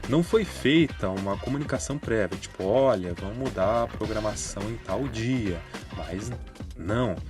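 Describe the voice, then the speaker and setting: A man's voice: fairly low pitch, expressive, normal speed. A Brazilian man narrating news commentary in an informal, explanatory way. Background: background music.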